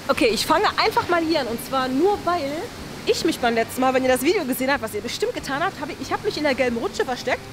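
A young woman hosting a vlog in a casual, chatty way, thinking aloud as she talks to the camera. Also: high voice. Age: young adult. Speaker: host of a YouTube challenge vlog. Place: large indoor swimming pool hall.

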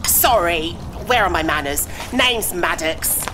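A woman's voice: on the low side, animated, slow pace, music in the background, low-quality recording. A woman voicing a small, quirky, friendly food seller in a fantasy game, chirpy and scatty.

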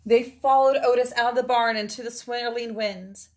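A middle-aged woman reads a children's storybook aloud, with dramatic phrasing and drawn-out words for emphasis. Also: on the high side, steady tone. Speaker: storybook reader. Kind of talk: expressive read-aloud of a children's picture book.

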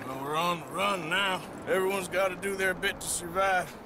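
A man's voice: high voice, steady tone, normal speed, noisy background, lightly acted. A man voicing a tough, dry-witted American outlaw gunslinger, sardonic and laconic, in a dramatic game cutscene. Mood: dry, weary.

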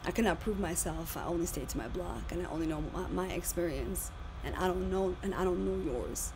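A woman's voice: lowish voice, a little fast. A woman teacher in her thirties with a big voice, lecturing her class animatedly over a video call. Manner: animated, repeats and restarts phrases. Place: home room, indoors. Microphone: webcam on video call. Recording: noisy webcam audio.

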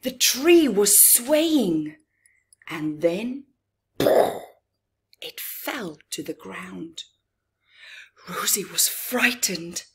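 Middle-aged woman, children's read-aloud, very slow delivery, slightly husky, dramatic emphasis. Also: medium pitch. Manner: expressive, dramatic pauses and emphasis, chatty asides to listeners. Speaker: children's story reader. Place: living room, indoors.

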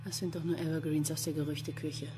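An actress playing a sharp, unimpressed female police investigator with a dry manner in a crime radio play. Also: sits low, level tone, fast, over music, close to an everyday voice. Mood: dry, businesslike. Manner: dry, matter-of-fact, wry. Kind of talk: German crime radio drama acting.

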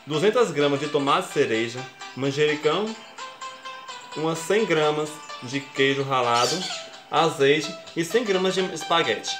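A young man with a Brazilian accent and a slightly high voice talks viewers through a recipe step by step. He sounds chatty and informal, like a home cook showing friends how it's done. The sound bounces around a bare kitchen while music plays underneath. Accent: Brazilian Portuguese. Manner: chatty, explains each step and keeps addressing viewers as folks. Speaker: cooking show host. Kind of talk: casual cooking tutorial. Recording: echoey kitchen with background music.

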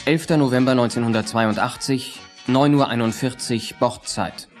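A man's voice: mid-range voice, fairly even intonation, normal speed, soft background music. A man making terse scripted announcements in a German radio play. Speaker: time and place announcer.

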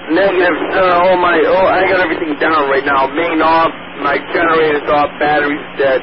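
A man captaining a fishing boat, making a hurried, halting distress call over marine radio. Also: a little high, steady tone, a loud crowd. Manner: hurried, halting, repeating himself. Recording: muffled marine radio with loud static.

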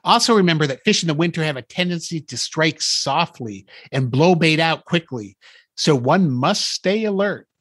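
Husky, animated higher-pitched man. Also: moderate pace, no room sound, studio quality. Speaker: podcast host. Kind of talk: scripted fishing tips in a comic skit.

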